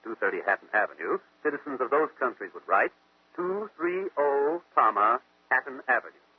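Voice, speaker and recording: Brilliant American sleuth, animated intonation, deliberate reasoning, vintage radio mystery, phone-like recording. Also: male voice, medium pitch, breathy edge. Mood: confident, explanatory. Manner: deliberate, didactic, question-and-answer reasoning. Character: brilliant male amateur detective, methodical and confident, explaining deductions. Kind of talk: 1940s radio mystery acting.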